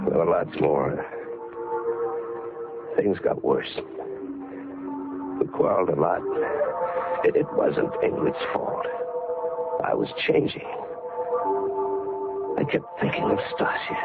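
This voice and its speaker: An actor playing a haunted, brooding bladesmith with an intense, halting delivery in 1940s radio style. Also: male voice, deep voice, lively intonation, gravelly, loud music behind the voice, full character voice. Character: haunted, brooding bladesmith unravelling under obsession. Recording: muffled, very noisy old radio recording.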